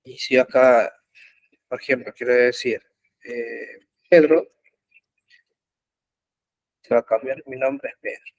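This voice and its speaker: Slow, halting male coding teacher. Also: medium pitch, steady tone, low-quality recording. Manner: slow, halting explanation with many pauses and fillers. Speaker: programming instructor. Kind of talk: live online coding class. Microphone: computer mic in an online class.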